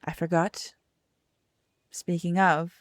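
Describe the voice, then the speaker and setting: Slow, low-voiced chatty woman streamer. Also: little pitch movement, no echo. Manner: rambling, self-correcting, chatty. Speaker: game streamer. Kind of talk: casual let's-play chat and reading game text aloud.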